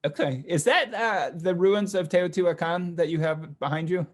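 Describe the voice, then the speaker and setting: A man hosting an online session, calling on participants one by one in a relaxed, casual way with many fillers. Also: high voice, normal speed. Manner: relaxed, friendly, lots of fillers. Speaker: host of online class session. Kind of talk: casual introductions on a video call. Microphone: video call.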